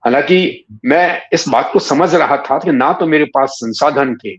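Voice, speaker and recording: A man in his sixties from Rajasthan reminisces in a conversational interview, telling stories in an unhurried way with hesitant pauses. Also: fairly high pitch. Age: 60s. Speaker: interview guest, writer and former cricket commentator. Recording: dull, muffled lo-fi audio.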